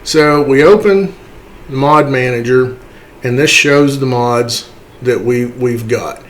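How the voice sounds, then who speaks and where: A man's voice: mid-range voice, takes it slowly, a little hiss or hum, low-quality recording. A man narrating a computer tutorial in a casual, conversational way, explaining step by step and often checking in with the listener. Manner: conversational, explanatory, often tags points with a checking "okay" or "right". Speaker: tutorial host, game modder.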